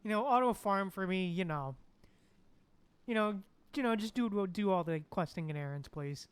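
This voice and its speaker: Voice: mid-range voice, expressive, moderate pace, clear, resonant tone, no room sound. A streamer giving casual, joking, loosely repetitive game commentary. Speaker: streamer playing and reacting.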